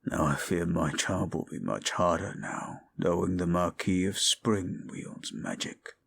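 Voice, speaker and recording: A man playing a tender, devoted, hulking goliath bodyguard in an intimate romantic roleplay, murmuring slowly and breathily. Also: low-pitched, creaky, a bit bright, a little hiss or hum, voice pushed down, full character voice. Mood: admiring, amused.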